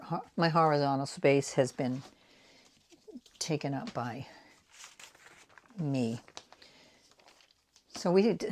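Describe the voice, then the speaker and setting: A woman in her sixties with a light Boston accent, chatting casually and rambling as she makes art prints, dropping into soft whispered asides while she works. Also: low voice, gravelly. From New England, United States. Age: 60s. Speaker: artist and craft vlogger.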